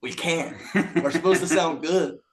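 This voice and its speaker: A raspy-voiced man from a band, joking and swearing in casual podcast chat, often acting out what people said. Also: on the high side, moderate pace. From Philadelphia area. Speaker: band member guest.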